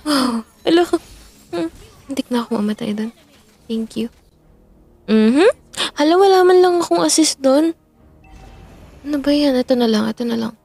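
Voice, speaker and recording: A woman's voice: sits high, lively intonation, unhurried, creaky, pauses often, a little mellow, faint music behind, exaggerated voice. A woman performing an audio roleplay as a playful young best friend who teases, giggles and backtracks when flustered. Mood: teasing. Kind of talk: audio roleplay, chatting while gaming.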